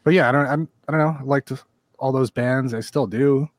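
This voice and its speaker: A man's voice: mid-range voice, fairly even intonation, a little fast, dry recording. Chill guy in his 40s on a podcast, swears a lot, dry close sound. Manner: laid-back, swears freely, says "like" often. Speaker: podcast guest musician. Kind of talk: casual chat.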